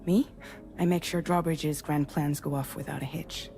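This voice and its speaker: A composed female commander in a video game cutscene. Her voice is low, welcoming and resolute as she guides a newcomer, with a prominent score behind her. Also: fairly even intonation, moderate pace, gravelly, dark tone, faint music behind. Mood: composed, explanatory. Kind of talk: cinematic video game acting.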